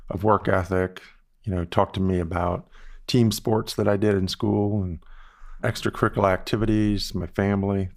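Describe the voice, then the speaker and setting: Older guy from the bahamas, kinda low voice, easygoing storyteller, lots of "you know". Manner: measured, reflective, with frequent "you know" asides. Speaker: guest, investment firm founder. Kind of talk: relaxed storytelling interview answers.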